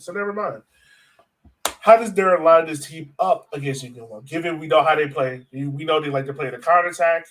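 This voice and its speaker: A man with a fairly high-pitched voice hosts a casual sports discussion. He sounds slightly distant from the microphone, talking informally with fellow panelists. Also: fairly bright tone. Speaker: podcast host.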